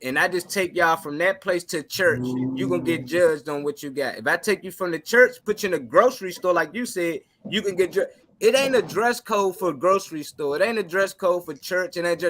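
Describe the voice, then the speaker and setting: Bright, high-pitched man debating casually. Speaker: talk show panelist.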